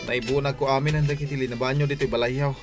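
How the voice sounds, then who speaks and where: An actor playing a put-upon husband and son-in-law in a comedy radio drama, muttering and grumbling hesitantly. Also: male voice, medium pitch, flat delivery, very fast, loud music behind the voice, lightly acted.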